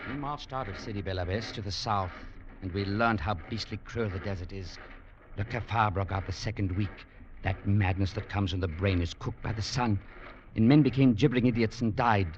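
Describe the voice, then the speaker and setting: Grave, low-voiced young legionnaire played by a man. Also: normal speed, background noise, close to an everyday voice. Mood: grim, foreboding. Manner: grave, literate storytelling. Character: weary young legionnaire recounting hard desert soldiering. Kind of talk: 1940s radio drama narration. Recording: muffled vintage radio recording, music behind.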